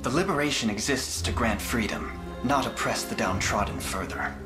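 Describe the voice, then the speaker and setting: A man playing a noble young prince who leads an army in a video game, speaking in a measured, earnest, formal way. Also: medium pitch, close to an everyday voice. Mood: earnest resolve. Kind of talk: video game cutscene acting. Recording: echoey, loud music behind.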